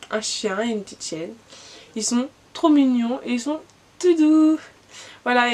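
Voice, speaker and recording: A woman's voice: mid-range voice, slight background noise. A young woman with a cold, chatting casually in a vlog and showing her gifts, with lots of fillers and restarts. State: has a cold. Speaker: vlogger. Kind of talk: casual gift haul vlog.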